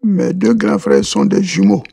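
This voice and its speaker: A man from Côte d'Ivoire, a podcast guest answering interview questions, halting and often repeating words as he restarts. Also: high-pitched, wildly swooping pitch, vocal fry, dark tone, slightly rough, dry recording, studio quality.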